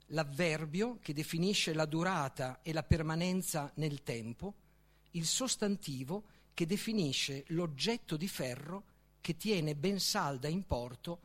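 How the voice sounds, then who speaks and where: Slow, high-pitched man reciting dramatically. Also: expressive, a bit bright. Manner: declamatory, deliberate, with emphatic pauses. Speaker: author reading own book. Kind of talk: literary reading, dramatic recitation.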